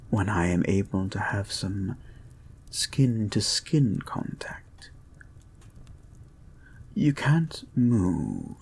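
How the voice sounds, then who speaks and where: Man acting a creepy, very slow-talking hypnotist therapist. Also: mid-range voice, a little hiss or hum, exaggerated voice. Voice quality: soft, breathy, husky, often near a whisper. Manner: slow, hushed, deliberate, coaxing. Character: creepy, soothing male therapist, possessive and gently controlling hypnotist. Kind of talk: intimate hypnosis audio roleplay, guided-meditation pacing.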